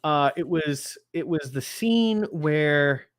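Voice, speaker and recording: A man's voice: fairly high pitch, slow pace, clean tone, dry recording, clean studio sound. A man from the Bahamas chatting casually in a film review, telling stories animatedly and acting out quotes. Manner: animated storyteller who acts out quotes. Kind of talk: casual chat.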